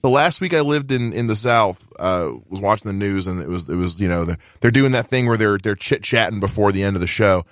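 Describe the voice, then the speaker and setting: A man's voice: lowish voice, animated, brisk, few pauses, no room sound, clean studio sound. A man in a casual podcast chat, making wry, jokey asides. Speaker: studio guest.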